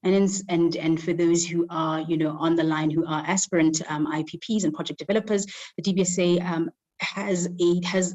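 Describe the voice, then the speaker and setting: A woman giving a formal panel statement, slow and careful with many filler pauses. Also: fairly low pitch, darkish tone, rough recording. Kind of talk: formal presentation.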